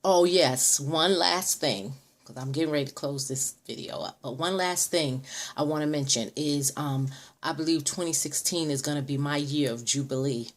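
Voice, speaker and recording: A woman's voice: low-pitched, normal speed. A woman in her late forties talking casually to camera, chatty with many ums and restarts, at times stressing words like a preacher. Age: 40s. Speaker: vlogger. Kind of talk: casual direct-to-camera vlog.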